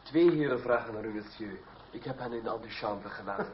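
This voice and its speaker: An actor playing a formal, deferential manservant who announces visitors in a radio play. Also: male voice, lowish voice, fairly quick, lightly acted. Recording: muffled old radio play, faint noise.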